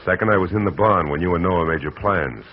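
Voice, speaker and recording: A hard-boiled private eye who narrates in a clipped, wry, tough way. His voice is extremely deep. The old broadcast sounds dull and carries a faint hiss. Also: quick pace. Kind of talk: 1940s radio acting.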